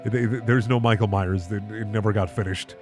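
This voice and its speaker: An expressive man hosting a reading of bad fan fiction, with sardonic commentary in between. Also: lowish voice, brisk, soft background music. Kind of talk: dramatic reading with mocking commentary.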